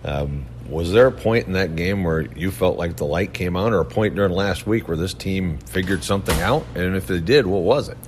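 A man's voice: low voice, moderate pace, hardly any pauses, background noise. A man hosting a sports show, introducing segments and interviewing guests in broadcast style.

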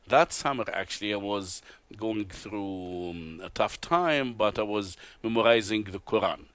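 A man from Tunisia with an Arabic accent, speaking low and earnestly as an interview guest. He halts and restarts often as he tells his story. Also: a bit bright, no room sound. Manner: earnest, halting, many uhs and restarts. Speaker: guest, TV host and author. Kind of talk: interview testimony. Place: convention broadcast booth.

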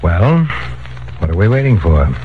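Genial gang boss, muffled noisy old radio. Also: male voice, low-pitched, big pitch swings, unhurried. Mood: businesslike. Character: genial, businesslike Chicago gang boss who dotes on his bird. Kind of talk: 1940s radio crime drama acting.

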